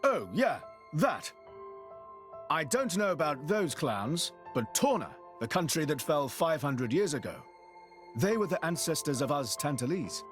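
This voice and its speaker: Cocky, animated young fantasy prince. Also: male voice, a little high, unhurried, faint music behind. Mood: explaining. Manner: breezy, boastful, colloquial. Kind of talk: video game cutscene acting.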